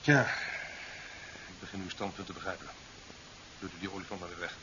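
A man's voice: low voice, fairly even intonation, brisk, natural voice. A man playing a sceptical, career-minded president in a 1970s science fiction radio play, formal and dry. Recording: dull 1970s radio recording with hiss.

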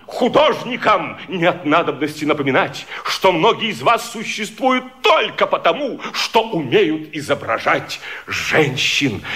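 An actor playing a gallant, ironic toastmaster in a theatrical radio vaudeville, declaiming with playful pomposity. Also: male voice, high voice, pushed and bright, full character voice. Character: flamboyant male toastmaster, gallant and ironic flatterer of women.

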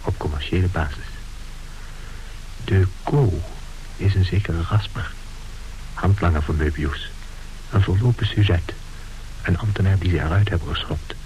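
A man's voice: deep voice, normal speed, long pauses, darkish tone, a little hiss or hum, close to an everyday voice, rough recording. A man acting as a conspiratorial radio play narrator who guides listeners in an urgent, theatrical, confiding way. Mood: hushed, confiding. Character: conspiratorial narrator guiding listeners as they shadow a crook. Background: music.

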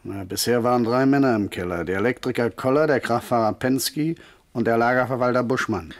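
An actor playing a dutiful, wry junior detective in a German radio crime play. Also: male voice, mid-range voice, a little fast, lightly acted. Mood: matter-of-fact.

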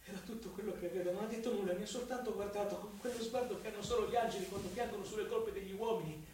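A man's voice: high voice, fairly even intonation, very fast, a little mellow, a little hiss or hum, lightly acted. Male actor as wretched drunkard, radio drama, rambling and self-abasing, very reverberant, far from mic. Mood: anguished. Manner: pleading, rambling, overwrought, repeating himself. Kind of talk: theatrical radio drama monologue. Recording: very echoey, distant from the mic. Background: music.

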